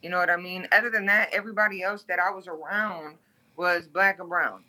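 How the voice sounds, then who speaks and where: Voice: medium pitch, fairly even intonation, fairly quick, sharp tone. A guest from Toledo, Ohio, speaking bluntly and candidly in an interview, swearing freely.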